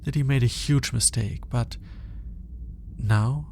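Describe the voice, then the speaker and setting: A man performs a lovelorn male alien scientist in an intimate audio roleplay. He is halting and awkward, speaking slowly in a soft-edged, dark tone with a creaky fry. He sounds like a lonely obsessive puzzling things out to himself. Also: medium pitch, slight background noise. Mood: wistful, hurt. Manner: hesitant, halting, musing aloud with sighs.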